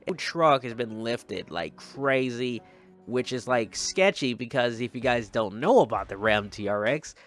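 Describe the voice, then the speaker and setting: A man's voice: mid-range voice, expressive, a little slow, fairly bright tone, faint music behind. A man hosting a gaming video with casual, rambling commentary, often repeating himself for emphasis. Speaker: video game vlogger, host.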